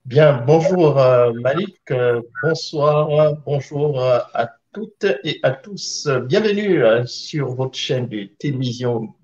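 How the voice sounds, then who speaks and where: A man's voice: medium pitch, slow pace, few pauses, a little mellow, muffled recording. A man from Gabon, co-hosting a live interview with formal introductions and many hesitant fillers, speaking over a remote call. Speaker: co-host.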